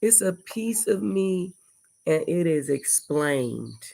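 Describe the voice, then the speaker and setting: Slow-talking older woman with a gravelly voice. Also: on the low side, big pitch swings, mellow. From United States. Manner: rambling, reflective, lists things in long runs. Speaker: livestream host. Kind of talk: casual livestream monologue. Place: indoors at home before dawn.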